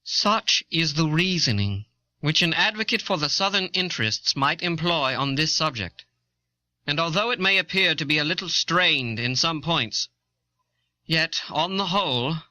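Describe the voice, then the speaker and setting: Sharp-voiced earnest old-time statesman. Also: on the high side, no echo. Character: earnest eighteenth-century American statesman, a grown man. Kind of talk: dramatised historical quotation. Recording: dull old audio cassette.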